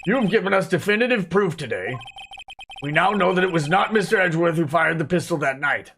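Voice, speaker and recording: Man putting on a formal old judge, higher-pitched. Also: lively intonation, fairly quick, vocal fry, sharp tone, slight background noise, heavily acted. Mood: puzzled, solemn. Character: ponderous, formal elderly male courtroom judge. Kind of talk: video game character voicing.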